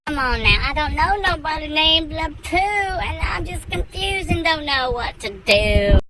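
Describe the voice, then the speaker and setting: A plaintive, folksy little lost rabbit from a storybook, played by a woman. The voice is high and swings around a lot as it whines and pleads. There is clear noise in the recording. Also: animated, measured pace. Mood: lost, pleading. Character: lost sad male bunny, whiny country delivery rabbit.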